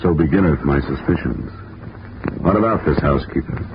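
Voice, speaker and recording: An actor playing a hard-boiled insurance investigator, dry and wisecracking, in 1950s radio drama style. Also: male voice, very deep voice, animated, normal speed, background noise. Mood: dry flirting. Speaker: investigator narrating his case. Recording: muffled old radio recording with music.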